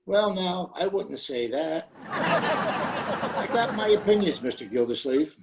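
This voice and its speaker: A man's voice: sits high, sing-song, takes it slowly, vocal fry, echoey room, heavy background noise, exaggerated voice. A man playing a mild, fussy old shopkeeper, hesitant and evasively polite, in an old-time radio comedy, recorded over a video call. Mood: mildly evasive.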